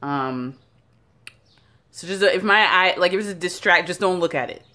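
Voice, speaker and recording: A woman's voice: on the low side, normal speed, pushed and bright. A woman in her thirties from Jamaica, chatting casually and rambling a little as she films herself on a phone held at arm's length. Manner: chatty and rambling, with many fillers. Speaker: founder of a beauty brand. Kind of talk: casual vlog talk. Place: bathroom by a bright window.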